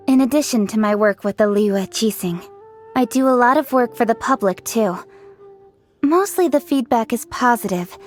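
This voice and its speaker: An actress voicing a driven, confident young woman official in a video game, speaking in a brisk, forthright way. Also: on the high side, close to an everyday voice. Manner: brisk, forthright and self-assured. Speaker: game character voice lines. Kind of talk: game voice-over.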